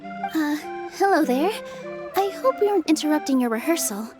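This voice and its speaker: A woman playing a gentle, kind young dancer in a video game, reassuring and soft-spoken. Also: high-pitched, expressive, moderate pace. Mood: polite, kind. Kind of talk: video game cutscene acting. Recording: music bed behind voice.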